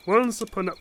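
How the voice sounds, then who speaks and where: A woman playing a shy, hesitant traveling companion in a fantasy audio drama, with crickets behind. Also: mid-range voice. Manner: hesitant, drawn-out. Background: crickets and night insects.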